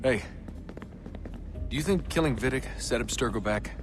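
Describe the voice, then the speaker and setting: An actor playing an earnest, slightly uneasy young assassin in a video game, speaking in a casual, understated way. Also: male voice, mid-range voice, expressive, moderate pace, music in the background, natural voice. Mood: serious. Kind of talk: video game cutscene acting.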